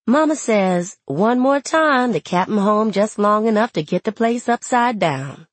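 Woman playing a plainspoken, husky young storyteller. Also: mid-range voice, lively intonation, unhurried, dry recording. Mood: wry, aggrieved. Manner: conversational dialect storytelling. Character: young enslaved mixed-race woman, plainspoken, wry, resentful. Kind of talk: first-person audiobook narration in character.

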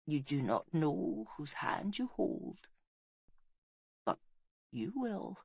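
Dying warrior queen, as a female narrator plays her, weak whisper, slow, solemn, tender, prophetic, audiobook fantasy. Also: very deep voice, expressive, fairly bright tone, husky, no echo, pitched below the performer's own voice, heavily acted. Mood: tender, fading. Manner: halting, solemn.

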